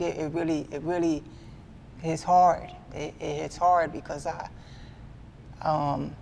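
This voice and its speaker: A man in his twenties giving a candid sit-down interview, earnest and halting, often repeating himself as he restarts. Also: on the high side, creaky, darkish tone, slight background noise. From Midwestern US. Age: 20s. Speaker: guest artist.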